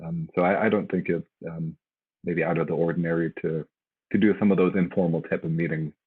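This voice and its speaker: A man's voice: deep voice, fairly even intonation, a little fast, slightly rough. A man on a faculty panel, giving casual, hesitant advice with many fillers over a video call. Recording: tinny phone-like line.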